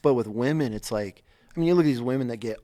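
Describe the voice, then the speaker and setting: A man hosting a podcast in a studio, telling stories in a blunt, animated way and swearing freely. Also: mid-range voice, fast talker. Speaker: podcast host. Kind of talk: casual chat.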